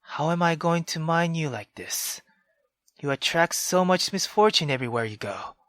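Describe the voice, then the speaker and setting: A man voicing a stern, reproachful mother in a visual novel, speaking low and hushed. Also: expressive, normal speed, dry recording, clean studio sound. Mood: cold scolding. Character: stern, scolding mother figure, woman.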